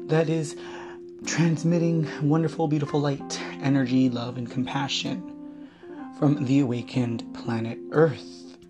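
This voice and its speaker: A man hosting a reflective spiritual podcast, his voice hoarse and weakened, talking in a slow, wandering way. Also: medium pitch. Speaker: podcast host. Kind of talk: reflective solo podcast talk.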